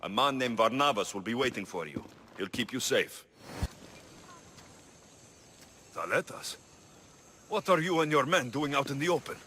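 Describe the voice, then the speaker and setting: A confident, blunt mercenary. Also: male voice, mid-range voice, expressive, a little fast, pushed and bright, slight background noise. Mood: firm, commanding. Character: rugged male Greek mercenary, confident, blunt, wry. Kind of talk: video game cutscene acting.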